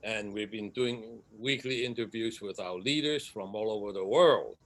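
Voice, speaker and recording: A man's voice: fairly low pitch, moderate pace. A man hosting a casual online interview, talking informally. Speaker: interview host.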